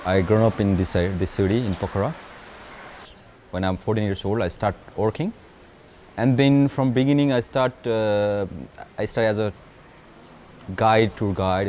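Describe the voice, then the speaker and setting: A man from Nepal with a Nepali accent, a paragliding pilot telling his story in an interview in halting English full of restarts. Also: lowish voice, darkish tone, a little hiss or hum. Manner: halting non-native English with many restarts. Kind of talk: interview, recounting his life.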